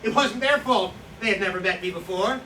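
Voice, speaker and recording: An actor playing a boastful, hard-drinking Slovak profiteer who styles himself an outlaw, rambling and justifying himself in a staged reading. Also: male voice, high-pitched, brisk, bright, forceful tone. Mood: defiant, boastful. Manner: rambling, boastful, self-justifying, loses his thread. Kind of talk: staged play reading, monologue-like recollection. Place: indoor staged reading, distant microphone. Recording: echoey, noisy room recorded from far off.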